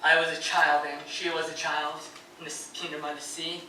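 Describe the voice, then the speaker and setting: Heard from off in a very echoey room, a male actor plays a tormented, grieving poet. His voice is high and forceful. He declaims verse and letters with nervous fervor and anguish, as in a live stage drama. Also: flat delivery, moderate pace. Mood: mournful, intense. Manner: fervent, declamatory, swelling into anguished outbursts. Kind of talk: theatrical dramatic reading of poetry and letters. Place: live performance in a radio station's performance room. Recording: very echoey live room, distant mic, faint noise.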